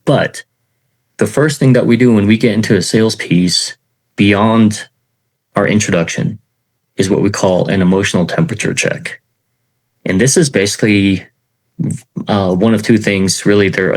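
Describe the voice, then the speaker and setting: A middle-aged man teaching sales in an informal talk, in a self-described monotone, with many fillers and "okay" check-ins. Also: fairly low pitch, rough recording. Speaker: sales trainer.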